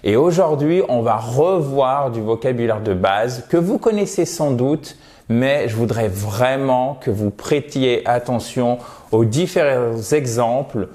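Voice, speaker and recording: Man, native French speaker, English teacher, slow deliberate delivery, patient, explanatory. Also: mid-range voice, expressive, a little mellow. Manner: slow, patient, explanatory, repeats words for pronunciation. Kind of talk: video lesson.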